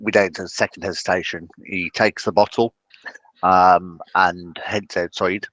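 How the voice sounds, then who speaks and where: A man with a lowish voice, recorded dry, improvises his part in a tabletop roleplay. His delivery is casual and joking, with halting narration and banter. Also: fairly even intonation, fairly bright tone, no room sound, poor audio.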